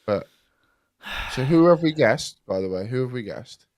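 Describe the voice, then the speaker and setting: Bloke on a podcast, bouncy voice, fires off guesses, dry sound. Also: male voice, mid-range voice, animated, a little mellow, dry recording. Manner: quick, chatty guesses. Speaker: podcast co-host. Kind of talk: casual podcast chat.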